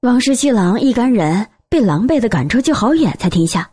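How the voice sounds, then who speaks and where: A woman reading a novel aloud as an expressive, scripted audiobook narrator. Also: fairly high pitch, brisk, husky, no room sound.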